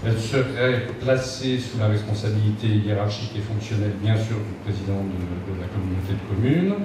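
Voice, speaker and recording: Guy reading out a council proposal, halting and official, super echoey, noisy recording. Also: fairly low pitch. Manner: halting, procedural. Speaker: deputy mayor presenting a council motion. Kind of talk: formal council presentation. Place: large indoor council meeting room. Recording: echoey hall from far off, loud noise.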